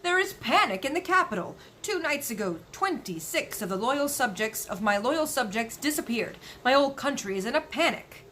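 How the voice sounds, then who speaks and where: A woman's voice: high voice, very animated, normal speed, hardly any pauses, sharp tone, slight background noise, voice pushed up, exaggerated voice. A woman voicing an agitated, testy storybook king, indignant and emphatic. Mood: alarmed. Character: agitated, testy adult male king of Sweden.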